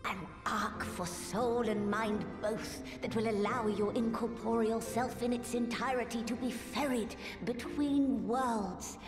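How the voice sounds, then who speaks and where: Confident lady scholar, female actor, fantasy game, articulate and formal, mid pitch, echoey, music underneath. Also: fairly even intonation, unhurried, few pauses, lightly acted. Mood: proud, explanatory. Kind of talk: scripted video game voice acting.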